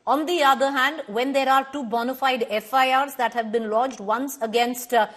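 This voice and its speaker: A woman's voice: sits high, fairly even intonation, a bit bright. Firm Indian English news anchor woman. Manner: firm, measured, corrects guests. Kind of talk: moderating a TV debate.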